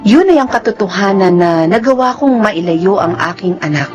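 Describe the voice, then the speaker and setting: A woman's voice: mid-range voice, expressive, normal speed, darkish tone, highs cut off, faint music behind, natural voice. An actress playing a hurt, proud single mother in a radio drama, with a Filipino accent and emphatic delivery. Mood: resolute, reflective.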